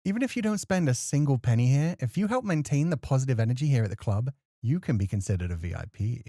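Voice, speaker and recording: A man acting as a refined, scholarly club host in a flirty ASMR roleplay, speaking formally with playful, gentle chiding. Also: mid-range voice, expressive, fast talker, no room sound, studio quality, close to an everyday voice. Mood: earnest.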